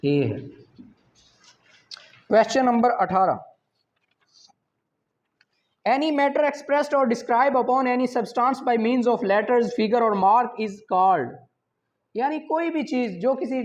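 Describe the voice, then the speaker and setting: A Pakistani-accented man teaching a law exam prep class, reading each question out slowly and then explaining it. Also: extremely high pitch, a little mellow. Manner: reads English questions slowly, explains in Urdu. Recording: slightly dull lo-fi audio.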